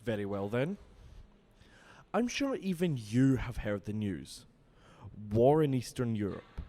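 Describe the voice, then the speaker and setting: A man's voice: medium pitch, big pitch swings, slow pace, dark tone. A man playing a wary, businesslike vampire councillor in an audio drama, brusque, sceptical and exasperated. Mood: impatient, worried.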